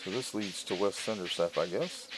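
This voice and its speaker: A man's voice: low voice, moderate pace, heavy background noise. A man giving casual let's-play commentary on a video game, talking through each step as he plays. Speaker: gaming video host. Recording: game music loud behind voice. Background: loud video game music and sounds.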